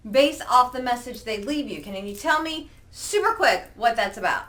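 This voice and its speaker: A woman's voice: fairly high pitch, expressive, moderate pace, fairly bright tone. A woman hosting a conversational business tips discussion, prompting with questions and recapping the points. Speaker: host.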